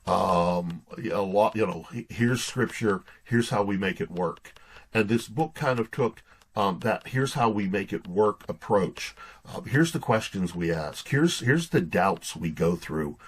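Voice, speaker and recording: Guy in his 60s, deepish husky voice, earnest folksy storyteller, stops and restarts thoughts. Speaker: podcast guest, pastor and author. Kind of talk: casual interview storytelling.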